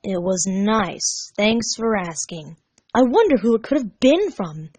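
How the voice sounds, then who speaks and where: A woman's voice: medium pitch, big pitch swings, measured pace, darkish tone, no echo. A woman voicing a blunt young male hedgehog who is grumpy yet caring, speaking plainly and earnestly. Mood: flat, annoyed.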